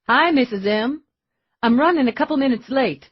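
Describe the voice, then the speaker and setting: A woman's voice: sits high, expressive, moderate pace, breathy edge, no echo, pitched above the performer's own voice. A woman voicing a sly, boyish young man who is charming and ingratiating, in a dull lo-fi audiobook recording. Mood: eager.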